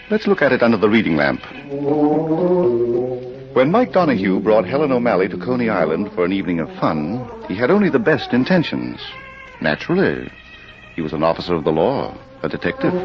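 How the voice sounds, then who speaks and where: Male mystery host: low, widely swinging delivery, suspenseful and deliberate, vintage radio. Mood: dramatic storytelling. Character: mysterious, unhurried male librarian narrator of crime stories. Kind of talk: 1940s radio mystery narration. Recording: noisy, dull old radio recording.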